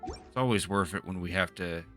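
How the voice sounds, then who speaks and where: Deep-voiced man muttering through game commentary. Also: normal speed. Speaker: game livestreamer. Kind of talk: casual gameplay commentary. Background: game music.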